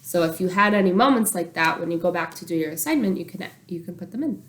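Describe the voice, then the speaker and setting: A woman reading a children's book aloud like a teacher, expressive and animated, acting out the dialogue. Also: on the low side, quick pace.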